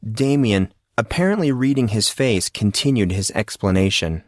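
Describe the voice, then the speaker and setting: A man's voice: on the low side, animated, moderate pace, no room sound, studio quality. A man reading scripted fiction as an audiobook narrator.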